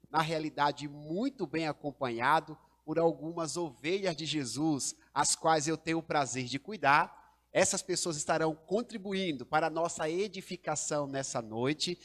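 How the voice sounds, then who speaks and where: High-voiced Brazilian pastor hosting a livestream. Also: male voice. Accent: Brazilian Portuguese. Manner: formal, preacher-like, rising emphasis. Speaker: pastor and host. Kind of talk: welcoming a church livestream.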